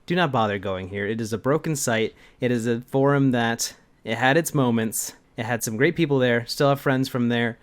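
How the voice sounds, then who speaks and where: A man's voice: medium pitch, moderate pace, hardly any pauses. A young adult man co-hosting a video in casual, informal chat, with some loose swearing. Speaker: video co-host.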